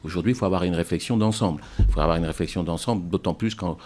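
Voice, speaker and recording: A man speaking as a town mayor in a political interview, halting and repeating words for emphasis. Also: low voice, a little mellow.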